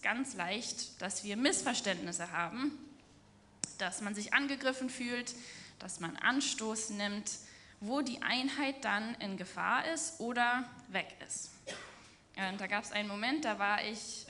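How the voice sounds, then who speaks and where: Bright-voiced woman giving a sermon. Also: medium pitch, a little hiss or hum. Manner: earnest, explanatory, acts out quoted speech. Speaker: preacher in a church. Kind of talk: sermon with personal anecdote.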